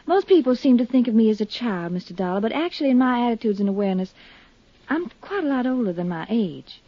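A woman's voice: fairly high pitch, animated, fast talker, no room sound. An actress playing a poised, earnest, grieving young woman in a 1950s radio drama, confiding yet firm. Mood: frank, confiding. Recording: dull, dry 1950s radio recording.

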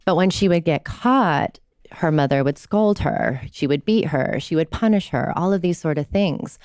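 A woman therapist talking as a podcast guest, telling client stories in a lively way and acting out quotes. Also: low-pitched, moderate pace, no room sound, clean studio sound. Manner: animated storyteller, acts out quotes. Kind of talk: conversational interview.

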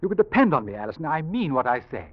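Husky, suave English schemer. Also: male voice, fairly high pitch, sing-song, very fast. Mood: courting, smooth. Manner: smooth, theatrical, insinuating. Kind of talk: old-time radio drama acting. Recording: dull, muffled old radio recording.

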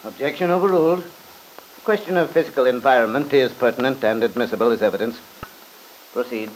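A man playing a stern, measured judge in an old radio drama, formal and authoritative. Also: mid-range voice, natural voice. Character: stern, measured male judge presiding over court. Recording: noisy old radio recording.

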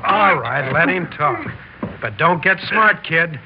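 An actor playing a cold, mocking gangster boss in a 1960s radio drama, sarcastic, tough and slangy. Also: male voice, a little high, expressive, slow. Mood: cold command. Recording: muffled, hissy old radio recording.

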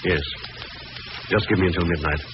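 A man playing a low-voiced, composed gentleman detective. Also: moderate pace, close to an everyday voice. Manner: composed, assured. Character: calm, confident gentleman detective. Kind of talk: 1940s radio drama acting. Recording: noisy, muffled vintage radio broadcast recording.

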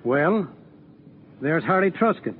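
A man's voice: fairly high pitch, very animated, takes it slowly, narrow, tinny audio. A man, a small-town editor, speaking earnestly as a guest in a scripted 1940s radio exchange. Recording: noisy band-limited 1940s radio broadcast.

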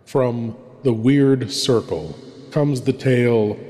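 A man hosting a horror show, delivering a theatrical scripted introduction with ominous, dramatic pauses. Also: medium pitch, warm tone. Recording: long reverb, music behind. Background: music.

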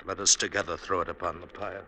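An actor playing a proud, quarrelsome older brother in an Indian village, in the formal, theatrical style of 1950s radio drama. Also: male voice, deep voice, steady tone, fast, sharp tone, rough recording. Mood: solemn. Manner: stately, formal, theatrical delivery. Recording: old radio recording, faint background noise.

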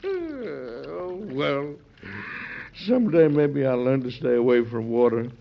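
Blustery, flustered older president, old radio. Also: male voice, medium pitch, lively intonation, takes it slowly, gravelly. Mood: rueful. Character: flustered older male president, gruff but good-natured. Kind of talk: 1940s radio acting. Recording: dull, noisy old radio recording.

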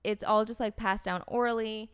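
Chatty American woman with a smooth voice. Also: fairly high pitch, steady tone, moderate pace. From United States. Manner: rambling, heavy use of "like" and "um", frequent restarts. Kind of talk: casual conversational storytelling.

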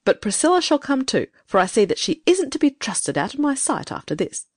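A woman's voice: high-pitched, big pitch swings, fairly quick, pitched above the performer's own voice. A woman voicing a bossy, suspicious middle-aged spinster who scolds harshly. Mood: grudging.

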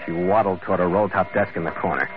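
A man's voice: low-pitched, fast, lightly acted. Man playing a wry hardboiled investigator, muffled radio recording. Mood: hardboiled narrating. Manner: clipped, dry, wisecracking. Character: tough, wry, world-weary male hardboiled investigator. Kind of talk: old-time radio noir acting and narration. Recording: muffled vintage radio recording, music behind.

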